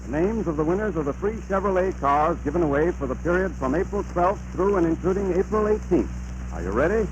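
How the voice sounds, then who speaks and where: American guy doing 1930s radio announcer, high lively voice, noisy old recording. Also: fairly quick, lo-fi sound.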